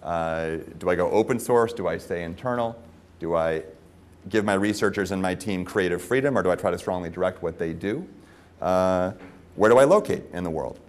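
A man in his forties opening a conference panel as moderator, speaking in a measured, articulate way on a lapel mic. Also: sits low. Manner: measured, articulate, with frequent "uh" fillers. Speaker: moderator, professor. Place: conference stage.

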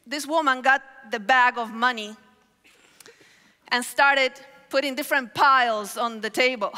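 A middle-aged woman from Argentina, preaching an animated sermon on stage with a headset mic, telling stories and calling on the crowd. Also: sits high. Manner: animated storytelling with gestures, calls on the crowd. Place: large hall stage with audience. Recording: reverberant large hall.

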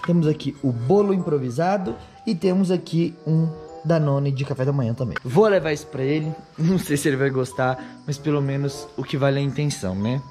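A hoarse young man talks straight to his viewers, fairly high-pitched and chatty. He holds an action camera at arm's length outdoors on a patio, with faint music under his voice. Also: dark tone. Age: young adult. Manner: chatty, addresses viewers directly. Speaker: vlogger. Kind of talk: casual vlog chat to camera. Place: outdoor patio, then a house kitchen. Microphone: handheld action camera at arm's length.

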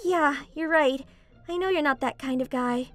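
Woman voicing a very high-pitched, sweet teenage schoolgirl. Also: moderate pace, fairly bright tone, faint music behind. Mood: caring, insistent. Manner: cutesy, stammering when flustered. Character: sweet, caring teenage schoolgirl, easily flustered. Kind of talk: manga dub voice acting, scripted.

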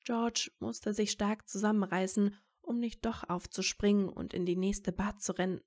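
A woman's voice: mid-range voice, fairly even intonation, normal speed, a little mellow. Female narrator for fan fiction audio drama. Middle register, scripted storytelling delivery.

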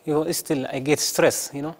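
A young man from Sudan, a guest in a TV studio, answering in halting, non-native English with short phrases. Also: a little high, steady tone, vocal fry, darkish tone. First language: not English.

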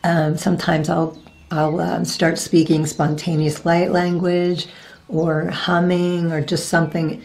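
A woman in her forties speaks to her webcam about her own experiences, her voice a little raspy and gravelly with some vocal fry. Her pitch sits on the low side. She rambles loosely and keeps stopping to hunt for the next word. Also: little pitch movement, unhurried, a little mellow. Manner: rambling, searching for words with many ums. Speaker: reiki practitioner. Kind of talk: casual spiritual vlog talk. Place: indoors, virtual blossom backdrop.

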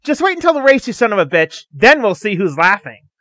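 A man's voice: fairly high pitch, lively intonation, moderate pace, bright, forceful tone, no room sound. A man voicing a hot-headed, snarky race driver in a narrated story, with a snappish, defiant manner. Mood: snarky defiance. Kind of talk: acted character in narrated fan story.

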